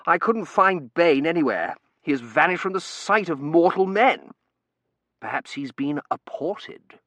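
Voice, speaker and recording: Guy playing a dreamy young gent, theatrical n poetic, voice lifted high and swoopy. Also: lively intonation, unhurried, fairly bright tone, no room sound. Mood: bemused. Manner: declaiming, poetic, bemused.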